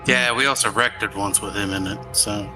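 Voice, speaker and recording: A man's voice: fairly low pitch, steady tone, moderate pace. A man playing a tabletop roleplaying game, talking casually in character. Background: background music.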